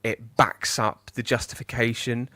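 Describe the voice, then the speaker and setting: A man co-hosting a podcast in casual chat, animated and rising high when he argues. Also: unhurried, no room sound. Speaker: podcast co-host.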